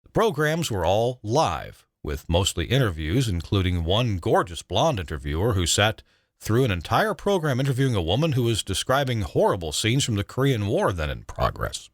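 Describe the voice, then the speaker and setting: Older guy reading his radio memoirs, deep-ish voice that swings up and down a lot, dramatic measured delivery. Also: big pitch swings, dry recording, clean studio sound. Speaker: retired broadcast engineer and storyteller. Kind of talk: scripted memoir narration.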